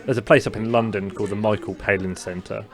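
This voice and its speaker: A young man with a mild stutter who co-hosts a podcast, telling a personal story in casual chat with many fillers and halting restarts. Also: lowish voice, fairly even intonation, music in the background. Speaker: podcast co-host.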